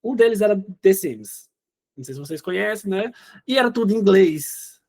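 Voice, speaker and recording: Chatty young man, high voice. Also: animated, normal speed, darkish tone. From Northeast Brazil. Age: 20s. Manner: chatty, often says "né?" and "entendeu?". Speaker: interview guest. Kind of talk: casual interview chat.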